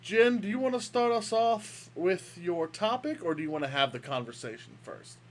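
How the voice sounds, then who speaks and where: A man's voice: sits high, animated, moderate pace. A man co-hosting a casual gaming podcast over a remote call, rambling and restarting his sentences. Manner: rambling, restarts often, playful grandiose greeting. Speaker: podcast co-host. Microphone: microphone over a remote call.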